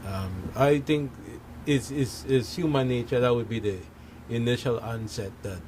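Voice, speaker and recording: A man's voice: medium pitch, normal speed, a little mellow. A man in a casual discussion, hesitant and repeating his words. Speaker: discussion guest. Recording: faint background noise.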